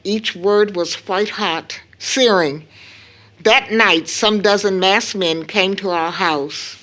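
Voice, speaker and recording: A woman playing a grieving, proud widowed mother in an audio drama, telling her story solemnly with weighted pauses. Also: mid-range voice, fairly bright tone. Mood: haunted, grieving.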